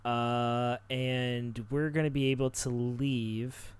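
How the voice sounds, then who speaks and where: A man playing a tabletop roleplaying game, chatting casually and haltingly as he thinks aloud. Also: mid-range voice, flat delivery, clean tone. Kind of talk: casual improvised roleplay chat.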